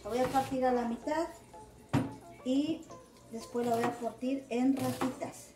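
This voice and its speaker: A woman's voice: sits high, fairly even intonation, a little slow, over music. A woman with a Mexican Spanish accent explaining cooking steps casually, in a homely way full of diminutives. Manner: homely, uses many diminutives. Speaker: home cook presenting recipes. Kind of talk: casual cooking voice-over, step by step. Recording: roomy echoing home recording.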